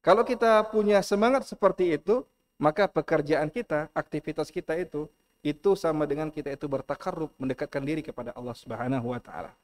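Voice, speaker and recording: A middle-aged man with an Indonesian accent giving an earnest lecture in a mosque, repeating key phrases and reciting Arabic slowly. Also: fairly high pitch, darkish tone. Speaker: preacher.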